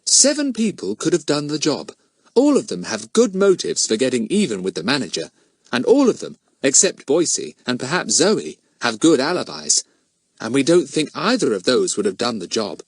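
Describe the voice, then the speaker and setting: Man doing a sensible schoolboy, raised pitch. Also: huge pitch swings, moderate pace, breathy edge, dry recording, heavily acted. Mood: pondering. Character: sensible, matter-of-fact schoolboy sleuth.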